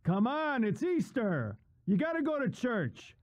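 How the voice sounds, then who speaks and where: Insistent, very high-pitched dad. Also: male voice, huge pitch swings, measured pace, no echo, exaggerated voice. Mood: insistent, impatient. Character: insistent churchgoing father of a teenager. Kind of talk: radio drama acting. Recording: muffled, noisy, calling from off.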